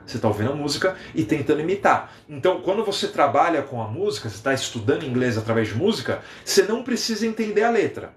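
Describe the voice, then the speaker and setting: A young Brazilian man with a Brazilian Portuguese accent, an English teacher giving a lively live-stream lesson and chatting with viewers. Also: on the high side, reverberant. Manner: lively, animated, reads out viewer comments.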